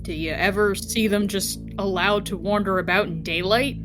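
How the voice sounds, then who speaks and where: A woman's voice: medium pitch, fairly even intonation, moderate pace. A woman playing a tabletop role-playing game, chatting in and out of character in a wry, wordy way. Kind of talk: casual in-character tabletop chat. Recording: clear music behind the voice. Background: background music.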